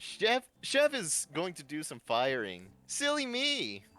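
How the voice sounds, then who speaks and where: A man voicing a flippant, gleeful cartoon show host in a loud, mock-apologetic showman manner. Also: on the high side, huge pitch swings, slow pace, soft background music, pitched above the performer's own voice, exaggerated voice. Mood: gleefully mocking.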